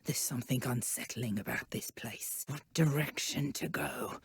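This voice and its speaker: A woman with a British accent playing a haughty, mocking dark witch villain in a video game. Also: very deep voice, moderate pace, slightly rough, no echo, clean studio sound, full character voice. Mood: musing, uneasy. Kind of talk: video game combat voice lines.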